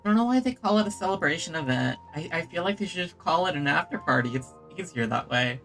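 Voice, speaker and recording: A woman's voice: lowish voice, lively intonation, quick pace, fairly bright tone, soft background music. A woman streaming a game with casual, chatty commentary, reacting aloud and reading text out.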